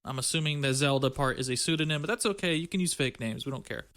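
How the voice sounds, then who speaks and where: A man's voice: mid-range voice, fast, a bit bright. A man co-hosting a podcast, talking casually and slangily, with some swearing. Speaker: podcast co-host.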